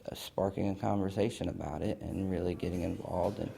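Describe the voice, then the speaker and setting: A man's voice: low voice, fairly even intonation, fairly quick, creaky, mellow. A young man telling his own story in an interview, in a reflective, earnest way with loose fillers. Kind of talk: candid personal storytelling.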